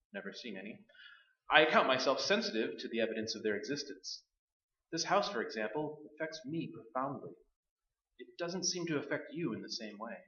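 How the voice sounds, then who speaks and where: A man in a staged radio play, playing a solemn, pompous doctor of psychic research with formal, deliberate speech. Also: medium pitch, a bit bright. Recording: roomy stage recording, distant, dull.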